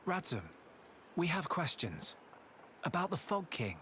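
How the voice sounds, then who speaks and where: Lively, earnest young hero. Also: male voice, on the high side, a little slow, slight background noise, full character voice. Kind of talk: video game cutscene acting. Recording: game music behind the voice.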